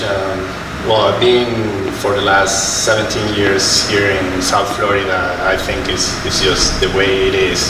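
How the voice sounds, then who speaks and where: A man's voice: on the low side, recorded from a distance. A man on a panel answering briefly in a laid-back way. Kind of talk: panel discussion. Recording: echoey hall, loud hiss.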